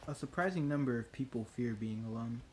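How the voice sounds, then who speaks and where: Man reading reflectively over clear background noise. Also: mid-range voice, a little fast. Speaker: reader at an online worship service. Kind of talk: reading a reflective text aloud. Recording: clearly noisy ambient background hiss.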